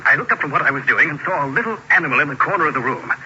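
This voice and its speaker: A man's voice: on the high side, lively intonation, very fast, heavy background noise, lightly acted. An actor playing a frightened, deferential male servant in a vintage radio horror drama, hesitant and uneasy. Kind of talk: 1940s-1950s radio horror acting. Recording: muffled old radio recording with loud music.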